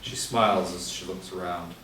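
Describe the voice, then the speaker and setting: A man's voice: deep voice, fairly even intonation, normal speed, bright, forceful tone. A man reading out scripted screenplay action and stage directions as the narrator. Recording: echoey room, distant mic, faint noise.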